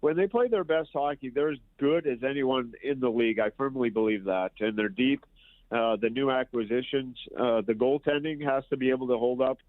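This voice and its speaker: A man's voice: medium pitch, brisk, no room sound. A man guest-analyzing hockey on the show, stuffed up and nasal, rambling and restarting his phrases. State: stuffed-up nose. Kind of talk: casual sports talk over the phone. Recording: tinny, dry phone line.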